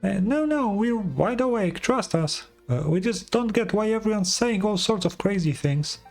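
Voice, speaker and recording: Game casting: man as little female fairy sidekick, squeaky-high, excitable, talkative, quick to exclaim. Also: soft background music. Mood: earnest. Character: small chatty female fairy companion, excitable and suspicious. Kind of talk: game dialogue read in character.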